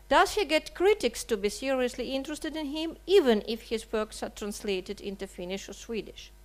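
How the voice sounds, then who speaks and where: Woman reading a statement. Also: fairly high pitch. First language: Russian. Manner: measured, earnest, with rhetorical questions. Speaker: writer and translator. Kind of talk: reading a prepared seminar statement. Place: seminar hall.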